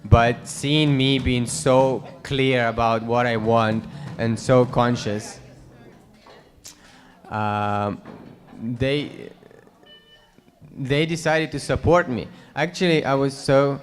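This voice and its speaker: A young man from Russia, sincerely recounting his life as an interview guest, halting with fillers. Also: medium pitch, fairly even intonation, faint music behind. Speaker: guest, new monk. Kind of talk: earnest personal account. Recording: long hall reverb.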